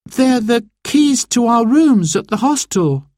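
A man's voice: extremely high pitch, very animated, slow, no echo, studio quality, voice pushed up, full character voice. A man voicing a cheerful, eager young boy in a storybook reading. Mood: puzzled. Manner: eager, bright exclamations.

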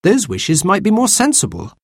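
A man's voice: wildly swooping pitch, normal speed, gravelly, husky, no room sound, studio quality, exaggerated voice. A man voicing an impatient, worried young boy in a children's storybook reading. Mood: eager. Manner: earnest storybook boy voice, raised higher.

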